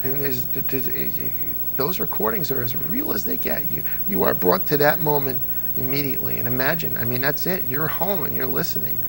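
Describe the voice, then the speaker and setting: A man's voice: medium pitch, fairly quick, gravelly, over music, rough recording. A middle-aged American man being interviewed as a radio fan, telling stories conversationally and repeating phrases for emphasis. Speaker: interview guest, old-time radio fan. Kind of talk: animated conversational storytelling.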